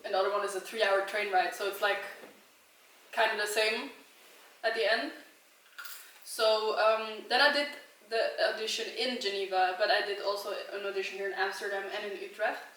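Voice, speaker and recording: Young woman, Swiss-raised, chatty and giggly, bright tone, roomy distant mic. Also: mid-range voice, fairly even intonation, moderate pace. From Switzerland. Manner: chatty, giggly, rambling with fillers. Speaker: vlogger answering questions. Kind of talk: casual chat. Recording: echoey room, mic at a distance.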